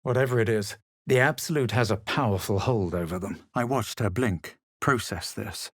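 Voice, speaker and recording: An actor playing a brooding male fantasy adventurer, narrating his visions for a video game in a measured, solemn way with a low, husky voice. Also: very noisy, close to an everyday voice. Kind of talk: dramatic first-person game narration.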